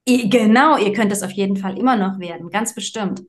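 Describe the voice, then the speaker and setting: A woman's voice: medium pitch, brisk. A woman co-hosting a podcast, talking casually in an encouraging, colloquial and blunt way. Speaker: podcast co-host. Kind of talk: casual conversational podcast chat.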